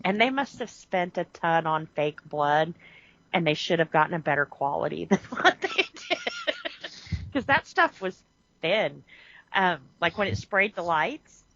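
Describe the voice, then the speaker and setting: A woman co-hosting a podcast, chatting casually about a movie, animated and quick to laugh. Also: fairly low pitch, no room sound. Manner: animated, laughs often, acts out quotes. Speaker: podcast co-host. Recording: muffled lo-fi audio.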